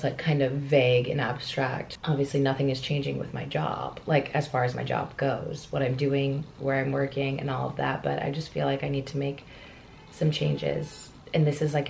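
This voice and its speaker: A young woman vlogger with a naturally low, raspy voice, slightly hoarse from a cold, talking candidly and a bit rambling to the camera. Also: level tone, moderate pace, slight background noise. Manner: candid, rambling, soft-spoken. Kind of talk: casual talk to camera. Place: hotel room with rain and traffic outside.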